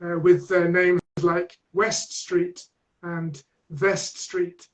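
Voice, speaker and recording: A man's voice: high voice, flat delivery, extremely slow. A man with a Manchester accent, a teacher trainer answering interview questions in a thoughtful, hesitant way with many restarts. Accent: Manchester English.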